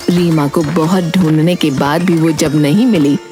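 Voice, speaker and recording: A woman's voice: sits low, steady tone, normal speed, dark tone. A woman narrating a scripted fairy tale as a storyteller. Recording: music behind the voice. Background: background music.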